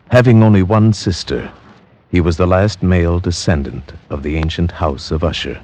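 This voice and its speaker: Deep-voiced somber gentleman. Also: slight background noise. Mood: grave, wistful. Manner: formal, literary, dramatic diction. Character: somber gentleman, narrator and anxious friend of a doomed man. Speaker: narrator and actor. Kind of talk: 1940s radio drama acting. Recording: old radio recording with music behind.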